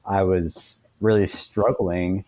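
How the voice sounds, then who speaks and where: Male author for interview segment: low, soft dark tone, dry close sound, informal and self-deprecating. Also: fairly even intonation, takes it slowly, no room sound, poor audio. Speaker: author, podcast guest. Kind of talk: conversational interview answers.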